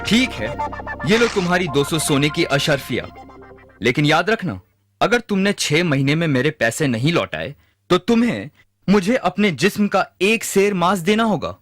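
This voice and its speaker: A man's voice: a little high, sing-song, moderate pace, over music. A man playing a greedy, ruthless moneylender in a moral-story cartoon, stern and threatening. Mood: cold, demanding. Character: greedy, ruthless male moneylender, cold and menacing. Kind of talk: animated moral-story dubbing.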